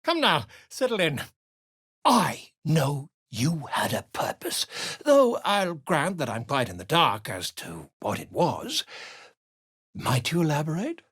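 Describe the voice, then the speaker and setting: Video game role: a fussy, pompous chronicler. Theatrical and wordy, with a husky voice and lively pitch. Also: male voice, slow pace, no echo, studio quality, heavily acted. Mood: flamboyant. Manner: theatrical, florid, wordy and wheedling. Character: pompous, flamboyant male author and chronicler, inquisitive and fussy.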